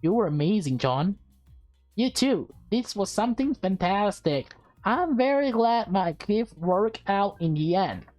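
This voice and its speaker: A woman's voice: mid-range voice, animated, a little slow, darkish tone, soft background music, lightly acted. A woman voicing a tender, grateful young lover in a visual novel.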